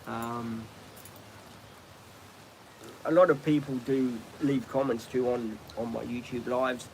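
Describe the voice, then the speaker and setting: Australian man, middle-aged, rambling live-stream host, outdoors with steady background noise. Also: mid-range voice, slow, rough recording. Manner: chatty, rambling, frequent ums and restarts. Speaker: livestream host. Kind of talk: casual live-stream chat. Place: outdoors, birds in trees nearby.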